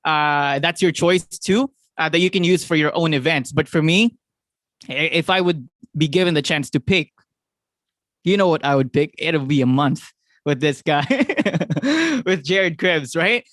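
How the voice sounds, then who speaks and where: A young man with a Filipino accent giving an excited, fast motivational talk to his sales team, often asking "right?" and laughing. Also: a little high, no room sound. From Philippines. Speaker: network marketing team leader.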